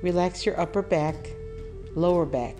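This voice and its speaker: A woman leading a guided meditation, giving calm instructions in a soothing, measured manner with deliberate pauses. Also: fairly low pitch, music in the background.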